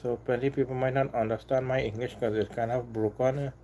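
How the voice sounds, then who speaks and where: A man from Trinidad with a Trinidadian accent, hosting a casual live stream and chatting with viewers in a halting, repetitive way. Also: medium pitch, steady tone, dark tone, a little hiss or hum. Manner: halting, repeats himself, apologetic then defiant. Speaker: livestream host.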